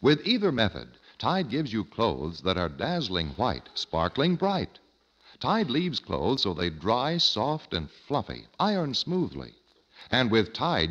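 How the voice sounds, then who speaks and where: A man's voice: mid-range voice, fairly bright tone. A man announcing an old-time radio commercial with an emphatic, polished sales delivery. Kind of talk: 1940s-50s radio advertising pitch. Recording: dull, echoing old radio recording.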